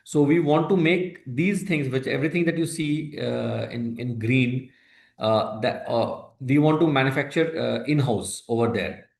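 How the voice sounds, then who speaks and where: A man with an Indian accent answers investor questions as a company executive, explaining in a halting, hesitant way with broken phrases. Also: medium pitch, creaky. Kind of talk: earnings call answers, explanatory. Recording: roomy echo on a conference call.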